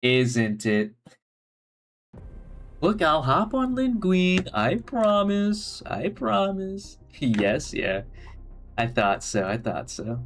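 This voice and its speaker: A rambling man talks over his gameplay on a headset, stopping and restarting his sentences. His voice is slightly hoarse and swings freely up and down. Faint music plays underneath. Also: fairly high pitch, sing-song, drawn-out words. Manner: chatty, rambling, many restarts and fillers. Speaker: streamer. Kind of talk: casual gameplay stream chat. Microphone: gaming headset.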